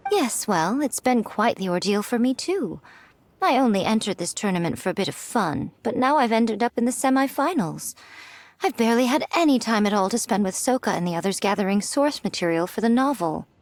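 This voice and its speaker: A woman's voice: mid-range voice, animated, a little fast, no echo, natural voice. A woman voicing a poised, self-assured, teasing lady in a video game, with refined, wry delivery. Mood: wry, composed. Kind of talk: animated video game voice acting.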